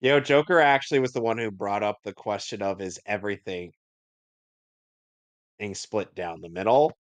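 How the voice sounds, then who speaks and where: A man's voice: fairly low pitch, normal speed, dry recording. A man co-hosting a show, giving casual, joking commentary on a cartoon.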